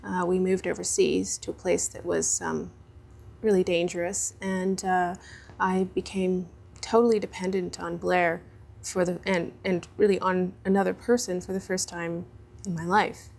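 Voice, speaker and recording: A woman giving a halting, reflective personal account as an interviewee. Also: fairly low pitch, little pitch movement, creaky, a little mellow.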